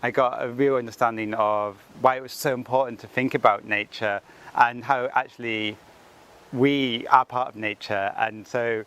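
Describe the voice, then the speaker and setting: A man with an English accent, speaking thoughtfully in an interview and pausing with frequent fillers. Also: mid-range voice, steady tone, a bit bright, clean tone. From England. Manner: thoughtful, with many ums and likes. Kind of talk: reflective personal account.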